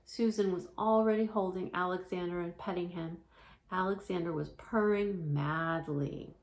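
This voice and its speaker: A woman reading a storybook aloud for children in a gentle storytelling manner, like a children's librarian. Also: on the low side, fairly even intonation, normal speed.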